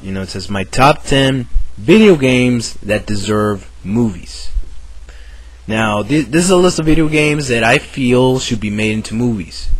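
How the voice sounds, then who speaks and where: A man with a cold and a sore throat, chatting casually to camera in a halting way, full of fillers and restarts. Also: medium pitch, poor audio. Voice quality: raspy. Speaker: video maker.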